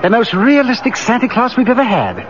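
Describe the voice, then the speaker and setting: An actor playing a fussy, gushing department store manager in an excitable 1940s radio style. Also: male voice, extremely high pitch, fairly quick. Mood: gushing, excited. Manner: effusive, excitable. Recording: muffled, noisy old radio recording.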